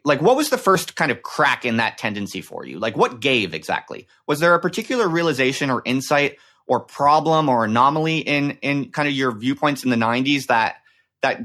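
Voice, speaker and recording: A man's voice: mid-range voice, fairly bright tone. A man hosting a conversational interview, earnestly working through long, wordy, reflective questions. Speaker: interviewer, podcast host.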